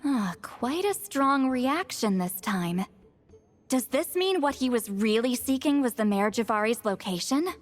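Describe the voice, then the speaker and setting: A knowing, high-voiced shaman granny. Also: expressive. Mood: focused, composed. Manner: measured, knowing, storytelling. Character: youthful-sounding female shaman granny, knowing, unhurried, mystical. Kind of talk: video game cutscene acting. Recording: faint music behind the voice.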